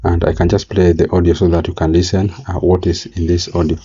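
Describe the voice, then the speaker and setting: Male tutorial presenter, very low and monotone, halting step-by-step delivery, slightly muffled recording. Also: husky. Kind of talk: screen-recorded software tutorial narration. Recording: slightly dull, lo-fi mic.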